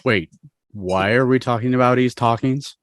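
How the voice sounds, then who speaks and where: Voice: mid-range voice, moderate pace, no echo, studio quality, natural voice. An actor playing an exasperated, reluctant young man who protests and stammers, in a fan table read over a video call. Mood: dismayed. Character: exasperated, reluctant young male babysitter, defensive and flustered.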